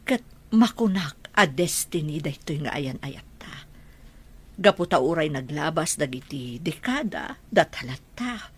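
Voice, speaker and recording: An actress with a Filipino accent playing a devoted older bride-to-be in a sentimental radio drama, gentle and heartfelt. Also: female voice, sits low, slightly rough, exaggerated voice.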